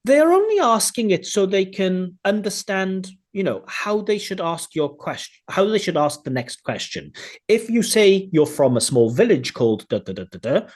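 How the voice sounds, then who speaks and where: A man teaching an online exam class, chatty and lively, acting out sample answers. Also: sits high, normal speed. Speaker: IELTS teacher. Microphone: video call.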